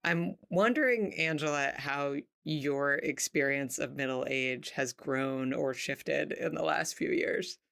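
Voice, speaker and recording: Low-voiced woman hosting casually. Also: slow pace, creaky, few pauses, fairly bright tone, smooth. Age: 40s. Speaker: podcast host. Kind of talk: casual chat interviewing.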